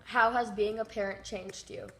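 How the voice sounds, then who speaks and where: A woman asking interview questions in a soft, quiet voice. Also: mid-range voice, fairly even intonation, normal speed, poor audio.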